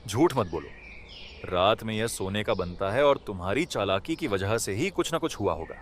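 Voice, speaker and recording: A man's voice: on the low side, expressive, moderate pace, a little hiss or hum, close to an everyday voice. A man voicing a kind-hearted, emotional poor villager in a Hindi cartoon, speaking in a dramatic, pleading way. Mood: angry, demanding. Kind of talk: Hindi animated moral story dialogue.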